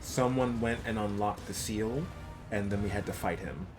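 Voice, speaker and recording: A man's voice: fairly low pitch, normal speed, over music. A man doing casual live commentary as a game streamer, explaining things in an easygoing way.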